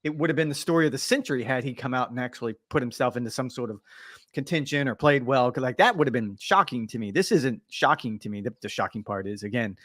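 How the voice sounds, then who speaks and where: A man co-hosting a golf podcast, teasing his partner and talking through his points with animated banter. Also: medium pitch, dry recording, clean studio sound. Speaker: podcast co-host. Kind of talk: casual sports podcast chat.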